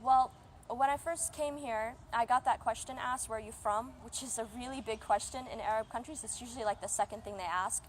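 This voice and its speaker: A woman's voice: a little high, a little fast, slight background noise. A young woman from Canada talking casually in an interview, chatty and full of little fillers. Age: young adult. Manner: chatty, uses "like" and "um" often.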